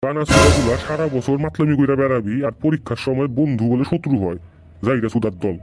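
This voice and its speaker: Performer as a fast-talking, scolding cartoon tea seller. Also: mid-range voice, heavy background noise, lightly acted. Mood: mocking. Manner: blunt, mocking, scolding. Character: gruff, scolding adult tea-stall keeper, proud parent. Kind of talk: comedy cartoon dialogue.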